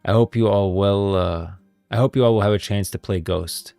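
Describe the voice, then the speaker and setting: A man hosting a casual gaming livestream, chatting informally, thinking aloud in a halting way and repeating phrases. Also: lowish voice, gravelly, dark tone, smooth, dry recording, soft background music. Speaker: streamer, host of a gaming lore stream. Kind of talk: casual livestream chat, reading posts aloud.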